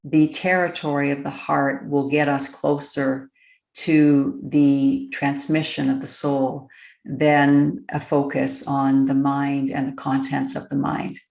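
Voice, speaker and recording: Low, creaky-voiced woman guiding meditation. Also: little pitch movement, reverberant. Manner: gentle, deliberate, with long pauses. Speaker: guided meditation coach. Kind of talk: slow, unscripted guided meditation.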